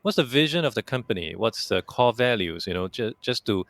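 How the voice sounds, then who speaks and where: A man's voice: medium pitch, lively intonation, a little fast, fairly bright tone, no room sound. A man hosting a business podcast, asking curious, conversational questions with frequent stammered restarts. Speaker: podcast host. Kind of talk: interview questions.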